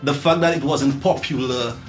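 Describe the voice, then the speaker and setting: A man's voice: mid-range voice, normal speed, soft background music. Laid-back Caribbean man chatting. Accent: Caribbean English. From Trinidad and Dominica. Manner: laughing, animated storytelling with many restarts. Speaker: music artist guest. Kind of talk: casual interview chat.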